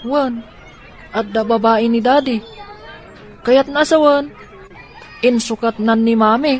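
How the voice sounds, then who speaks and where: A woman's voice: on the high side, steady tone, takes it slowly. A woman playing a worried but resolute, scheming young girl in a radio drama. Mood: resentful. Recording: faint background noise and ambience.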